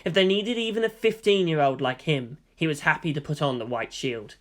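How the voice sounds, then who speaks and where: A man's voice: on the high side, fairly quick. A man reading a story aloud as dramatic scripted narration.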